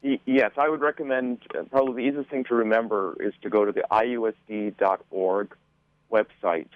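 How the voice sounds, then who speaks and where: Man calling into a radio show, thin phone sound, lowish voice, explaining things. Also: fairly even intonation, a little fast, no room sound. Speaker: school board member, guest. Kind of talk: informative interview answers. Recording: narrow, tinny phone-like line.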